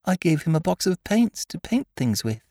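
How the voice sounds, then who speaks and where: A man's voice: high voice, sing-song, moderate pace, mellow, no room sound, pitched above the performer's own voice, full character voice. A man voicing a small, wistful boy in a storybook, plaintive and innocent.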